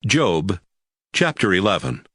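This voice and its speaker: A man's voice: sits low, big pitch swings, takes it slowly, a bit bright. A man narrating scripture from a script, announcing chapters and speakers in a formal reading.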